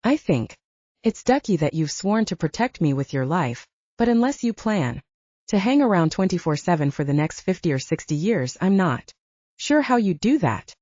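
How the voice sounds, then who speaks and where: Audiobook heroine, female narrator acting: wry, persistent young woman, animated intonation, dry and slightly dull recording. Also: on the low side, moderate pace, no echo. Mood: persistent, teasing. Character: plucky, argumentative young woman hiker with wry wit.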